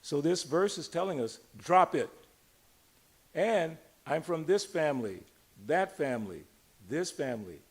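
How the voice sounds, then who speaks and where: A man's voice: on the high side, sing-song, measured pace, breathy edge. An older man giving an informal temple sermon, conversational yet emphatic, putting questions to his listeners and drawing out key words. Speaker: religious teacher giving a temple discourse. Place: speaking live to a gathering in a temple.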